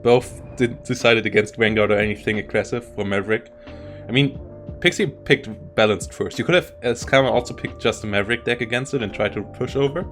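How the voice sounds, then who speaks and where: A man's voice: mid-range voice, a little fast, a bit bright, soft background music. A man commentating a live strategy game tournament, talking in loose, self-interrupting bursts. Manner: rambling, self-interrupting, frequent restarts. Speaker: esports caster.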